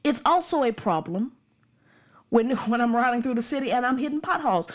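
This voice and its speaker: A woman's voice: fairly high pitch, animated, a little fast. A young woman from the Birmingham suburbs, giving an earnest, emphatic opinion monologue that repeats its points. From Birmingham, Alabama suburbs.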